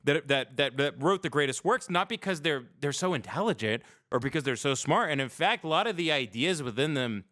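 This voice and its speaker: A man's voice: a little high, lively intonation, a little fast, few pauses, bright, forceful tone, no room sound, studio quality. An American man on a casual livestream, talking in a rambling, opinionated and profane way with plenty of emphasis. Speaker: podcast host.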